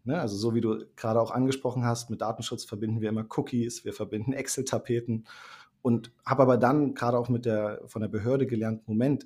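A man from Thuringia, a startup managing director, explaining things in a relaxed podcast interview with frequent fillers and restarts. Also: on the low side, husky. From Thuringia, Germany.

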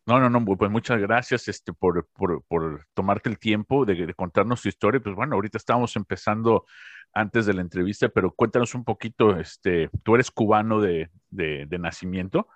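A man's voice: lowish voice, moderate pace, dry recording. A man hosting a podcast, interviewing a guest in a conversational way. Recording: slightly dull, lo-fi audio.

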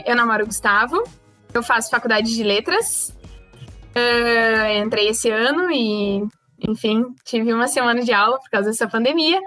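A talkative 19-year-old woman from the far south of Brazil, her gaúcho accent plain as she hosts a relaxed podcast chat. Her voice sits a little high and carries loudly. Faint music plays underneath. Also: normal speed, dry recording. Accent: southern Brazilian gaúcho Portuguese. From Rio Grande do Sul, Brazil. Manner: loud, talkative. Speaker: podcast host.

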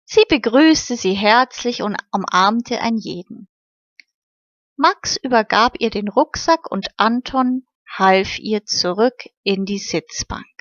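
A woman narrating a children's story in a slow, measured way. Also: on the high side, no echo. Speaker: audiobook narrator. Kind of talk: scripted fairy-tale narration. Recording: slightly muffled, dry recording.

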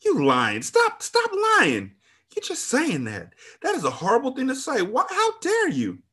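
A man's voice: medium pitch, sing-song, moderate pace, voice pushed up. A man voicing a woman in an audiobook drama, pleading and placating as she talks to her friend. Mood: shocked. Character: guilt-ridden woman narrator pleading with her angry friend.

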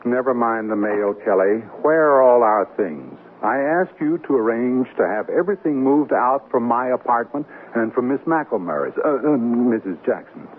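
A man's voice: medium pitch, lively intonation, normal speed, hardly any pauses, close to an everyday voice. An actor playing a wry, middle-aged American newlywed businessman with a dry, wisecracking delivery in a radio comedy drama. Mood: mildly exasperated. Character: newlywed businessman husband, wry, playful, mildly jealous. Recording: tinny, noisy old radio broadcast recording.

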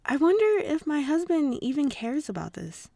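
A woman's voice: high voice, big pitch swings, moderate pace, creaky, dark tone, dry recording, full character voice. A woman voicing a wistful, easily flustered married former magical-girl warrior in an amateur manga dub.

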